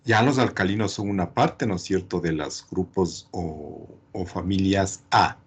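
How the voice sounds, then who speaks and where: Slow-paced male teacher, husky voice. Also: low voice. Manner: didactic, often adds check questions. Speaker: chemistry teacher.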